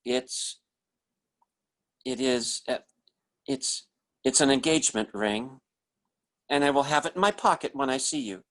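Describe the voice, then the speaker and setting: A man acting in a 1940s-style radio drama as an earnest, lovesick young oil surveyor, pleading and halting as he reads out his sentimental love letters. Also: mid-range voice, natural voice. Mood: eager, adoring.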